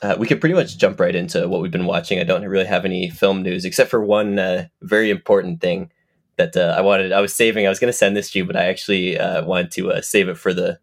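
A man's voice: low-pitched, fairly even intonation, fast. A man with a stuffed-up head cold co-hosting a casual film and TV podcast, talking in a rambling, stop-start way with repeats. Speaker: podcast co-host.

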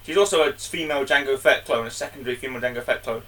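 A man's voice: mid-range voice, racing, a bit bright, distant mic. A man co-hosting a casual review podcast, chatty and jokey, often calling his co-host by name.

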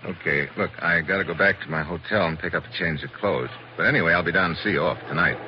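An actor playing a wry, tough special agent in a 1940s radio adventure, talking in casual, sardonic slang. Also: male voice, sits low, quick pace, close to an everyday voice. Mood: wry, flirtatious. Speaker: lead actor of adventure series. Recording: muffled, noisy 1940s radio recording.

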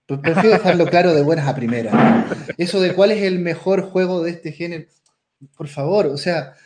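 Blunt, opinionated man, higher voice. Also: a little fast, darkish tone, slight background noise. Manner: blunt, opinionated, restarts words. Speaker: podcast co-host. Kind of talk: casual discussion.

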